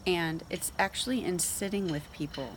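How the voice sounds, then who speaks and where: A woman's voice: on the low side, moderate pace, noisy background. A woman giving an informal devotional talk in a conversational, emphatic way, as host of a Bible reading show. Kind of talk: casual devotional talk with scripture read aloud.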